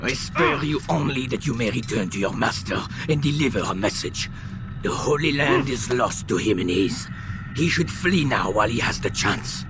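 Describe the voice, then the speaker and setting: An actor voicing a condescending, threatening Templar villain with measured disdain in a video game. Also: male voice, medium pitch, animated, over music, close to an everyday voice. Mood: disdainful menace. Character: menacing, condescending male Templar commander, a villain. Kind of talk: video game cutscene dialogue. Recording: noisy game audio with ambience.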